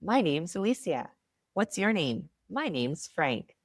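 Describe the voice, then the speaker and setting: Thirties woman, ESL teacher: low, animated voice, deliberate clear delivery, dry sound. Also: no room sound. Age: 30s. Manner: slow model phrases, bright and upbeat. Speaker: English teacher. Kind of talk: slow, clearly enunciated beginner ESL lesson.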